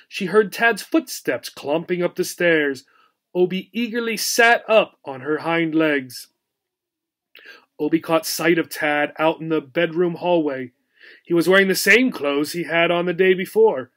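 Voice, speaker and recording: A man narrating a children's story as an audiobook reader, in an expressive storytelling manner. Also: on the high side.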